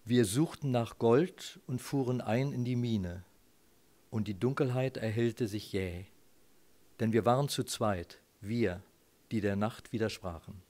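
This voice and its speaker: Slow-paced man reciting poetry. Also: mid-range voice, a little mellow. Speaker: poetry reader.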